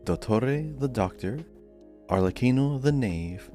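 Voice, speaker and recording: A man's voice: medium pitch, lively intonation, measured pace, warm tone, faint music behind. A man hosting a podcast quiz, reading out questions and chatting casually.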